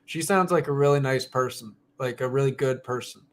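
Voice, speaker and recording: A man's voice: mid-range voice, a little fast. A man hosting a casual live stream discussion, talking in a sympathetic, inquisitive way.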